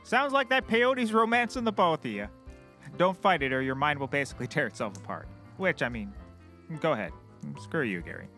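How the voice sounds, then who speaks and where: An excitable, self-important comic private eye, with a sharp, high voice. He is petty and theatrical, bickering and insulting in big swoops of pitch. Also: fairly quick, faint music behind. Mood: sardonic. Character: excitable, petty, bombastic male private investigator.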